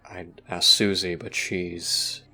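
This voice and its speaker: A man playing a moody human teenager in an audio roleplay, talking in a casual, halting, slangy way. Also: deep voice, level tone, sharp tone, exaggerated voice. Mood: subdued, uneasy. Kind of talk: audio roleplay acting, conversational.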